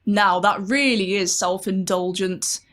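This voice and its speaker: A woman's voice: mid-range voice, fairly even intonation, unhurried, fairly bright tone, natural voice. A woman voicing a weary, self-doubting older female children's author who muses theatrically to herself in a story. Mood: self-critical.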